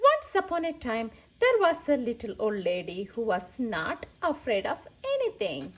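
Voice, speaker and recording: A woman reading stories aloud for children as the host, animated and emphatic with repeated words. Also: fairly high pitch, moderate pace, slight background noise. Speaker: children's story reader and host.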